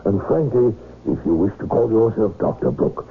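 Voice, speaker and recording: An actor playing a falsely reassuring, sinister sanitarium doctor, patronising and unruffled, in old-time radio drama style. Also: male voice, fairly low pitch, big pitch swings, normal speed, gravelly. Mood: calmly patronising. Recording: noisy, tinny radio drama recording.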